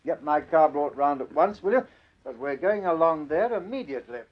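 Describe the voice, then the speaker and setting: An actor playing a brisk, probing chief inspector in a British radio drama, with an English accent and colloquial, deliberately hesitant questioning. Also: male voice, on the high side, lively intonation, dry recording, dull audio. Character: brisk, dogged Scotland Yard chief inspector, male.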